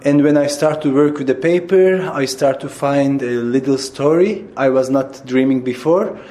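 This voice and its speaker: A theatre director from Turkey speaking Turkish-accented English in an interview, halting and searching for words with many fillers and restarts. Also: male voice, mid-range voice, dark tone, slight background noise. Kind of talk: interview answers.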